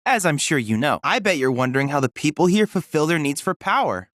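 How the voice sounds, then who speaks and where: A boyish android research assistant from a video game, polite and endlessly curious. His phrasing is formal and precise, with pitch that moves in lively swings. The recording is close and dry. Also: dry recording. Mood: informative, curious. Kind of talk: scripted video game character dialogue.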